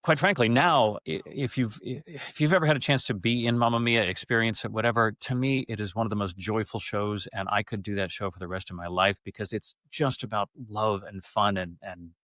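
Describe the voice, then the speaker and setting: A man's voice: lowish voice, fairly quick, few pauses, a bit bright, no room sound, clean studio sound. A middle-aged man telling stories casually as an interview guest, in a rambling, effusive way. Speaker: interview guest, stage actor. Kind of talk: casual conversational storytelling.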